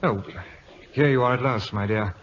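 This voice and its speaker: An actor playing a pompous, condescending British admiral in an old-time radio drama, insinuating under silky politeness. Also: male voice, mid-range voice, moderate pace, close to an everyday voice. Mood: suave. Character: haughty, insinuating British admiral, smugly proprietary. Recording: dull, muffled vintage radio recording.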